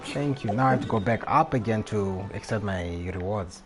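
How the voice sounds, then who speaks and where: A man's voice: on the low side, brisk, music in the background. A man talking casually as a live game streamer, rambling and often repeating his phrases. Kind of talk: casual gaming livestream chat.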